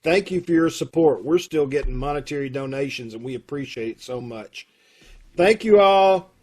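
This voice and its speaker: A man's voice: mid-range voice, normal speed, a little mellow, breathy edge. A man with a Kentucky accent, leading a theater troupe and making casual, rambling announcements over a video call. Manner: folksy, rambling, with fillers.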